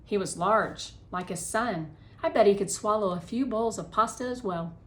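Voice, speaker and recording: A woman reads a children's novel aloud with expressive, animated delivery. Her voice sits at an average pitch for a woman. She hosts the read-aloud and brings the dialogue to life. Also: moderate pace.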